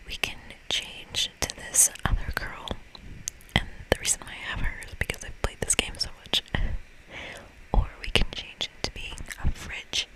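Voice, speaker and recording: A host whispering softly and chattily through an ASMR video game playthrough, speaking without hurry. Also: rough recording.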